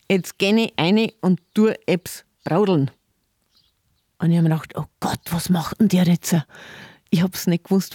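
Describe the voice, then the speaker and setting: A woman's voice: medium pitch, no echo. Lady chatting on a podcast, laughs a lot, repeats herself, dry close mic. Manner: warm, chatty, laughs often, repeats words. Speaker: city tour guide and guest. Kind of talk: casual podcast interview.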